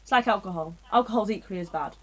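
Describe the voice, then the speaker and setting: A woman's voice: medium pitch, racing, a bit bright, low-quality recording. A young woman co-hosting a casual podcast chat, rambling and digressing with frequent giggles. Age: young adult. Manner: rambling, self-interrupting, giggly. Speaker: podcast co-host.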